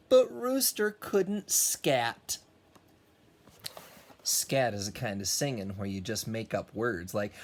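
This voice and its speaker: A man who teaches music, reading a children's storybook aloud expressively with casual, encouraging asides. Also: mid-range voice.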